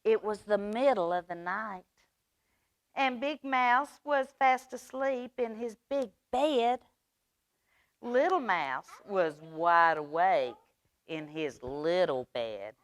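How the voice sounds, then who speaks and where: Female storytime reader, very unhurried and expressive, dry close sound with faint music. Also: mid-range voice, no echo. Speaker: children's librarian storyteller. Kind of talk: children's storytime read-aloud.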